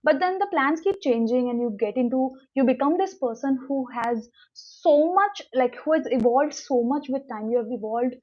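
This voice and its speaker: A young woman with an Indian English accent, a Konkani native speaker, talks in a loose, rambling way on a motivational livestream and stops to answer viewer comments. Her voice sits a little high. The audio is dull and lo-fi. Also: expressive, normal speed, few pauses, a little mellow. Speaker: livestream host. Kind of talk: casual motivational livestream chat. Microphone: new mic, livestream.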